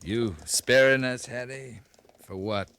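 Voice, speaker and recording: An actor playing a steady, laconic American cavalry captain with a measured, understated manner in a 1950s radio western. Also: male voice, mid-range voice, gravelly, clear, resonant tone. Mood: puzzled. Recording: vintage 1950s radio recording.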